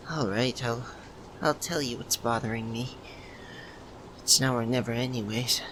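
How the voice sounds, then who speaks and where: A man playing a shy, lovesick young university student, speaking hesitantly in an ASMR roleplay with stammers and pauses. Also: medium pitch, close to an everyday voice. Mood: nervous, then tender, nostalgic. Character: shy, lovesick young man, nervous childhood best friend. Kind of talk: ASMR roleplay, soft emotional monologue to the listener. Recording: noticeable steady background ambience noise.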